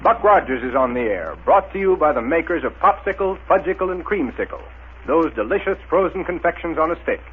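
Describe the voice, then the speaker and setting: A man's voice: fairly high pitch, animated, a little fast, a little hiss or hum. A man announcing in emphatic, showmanlike 1930s radio style, reading scripted narration and sponsor copy. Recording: thin, phone-like old radio recording.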